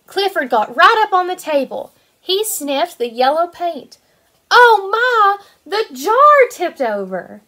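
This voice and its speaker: A woman in her twenties, a kindergarten teacher reading a picture book aloud to young children in an animated, sing-song way. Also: extremely high pitch, slow pace. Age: 20s.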